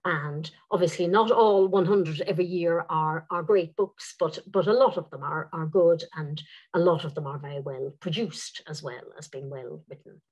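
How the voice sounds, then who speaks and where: A woman's voice: deep voice, moderate pace, darkish tone, slightly rough, muffled recording. An Irish woman in her sixties, an author speaking in an interview over a video call, thoughtful, with frequent restarts and repeated words. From Ireland. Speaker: author guest. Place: home room with windows.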